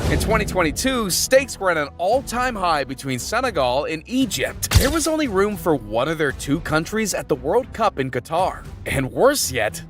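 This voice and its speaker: A man narrates a sports video with a high voice that swings widely in pitch. His scripted delivery is hyped and dramatic, full of slang and exclamation. Music plays clearly underneath him.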